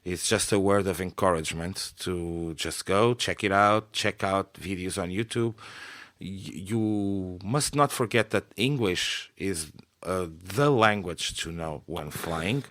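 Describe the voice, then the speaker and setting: A man's voice: deep voice, a little slow, a bit bright, dry recording. A man with a Portuguese accent, a native Portuguese speaker, talking in a casual online round table in a hesitant, encouraging way with many fillers.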